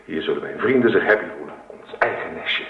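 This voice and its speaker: An actor playing an eager young Dutch husband in a radio play, stubborn, dreamy and tender. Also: male voice, low voice, animated, brisk. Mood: eager, proud. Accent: Netherlands Dutch. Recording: roomy, tinny phone-like radio recording.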